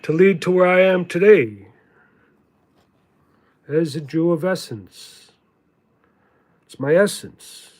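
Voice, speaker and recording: A man giving a contemplative spiritual monologue in a halting, deliberate way, repeating words for emphasis. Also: a little high, darkish tone. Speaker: spiritual teacher reading from own writings.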